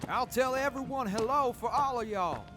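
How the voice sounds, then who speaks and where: An actor playing a sincere, gentlemanly military major in an audio drama, earnest and grateful. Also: male voice, extremely high pitch, moderate pace, background noise. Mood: fond. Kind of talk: post-apocalyptic audio drama acting.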